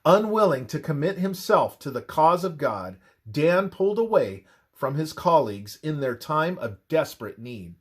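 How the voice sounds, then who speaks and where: A man reading a scripted religious narration in a measured, expressive manner. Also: on the high side, breathy edge.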